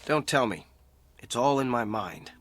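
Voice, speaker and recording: A man's voice: medium pitch, a little slow, fairly bright tone, soft background music, lightly acted. An actor playing a troubled, sardonic company man in therapy in an audio drama, with a curt, defensive delivery.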